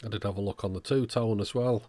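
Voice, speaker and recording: A man's voice: lowish voice, steady tone, fast, warm tone, no echo. A man narrating a hands-on repair demonstration in a methodical, step-by-step way, as the host of an electronics channel.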